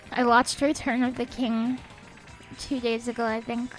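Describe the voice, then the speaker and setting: A young woman chatting casually on a game stream. She is a little sniffly, speaks softly, and reads out chat messages. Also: a little high, poor audio. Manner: soft-spoken, reads chat aloud. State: sniffly, a bit stuffed up. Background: faint game music.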